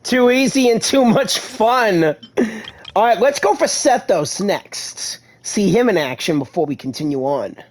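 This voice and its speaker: A gaming video host giving casual gameplay commentary, given to excitable exclamations. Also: mid-range voice, unhurried.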